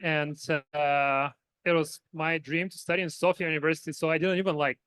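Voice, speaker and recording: A man's voice: fairly high pitch, flat delivery, brisk, a bit bright, clear, resonant tone, dry recording. A man from Bulgaria with a Bulgarian accent, speaking modestly and conversationally as a panelist. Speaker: panelist, software engineer. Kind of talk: conversational conference panel answer.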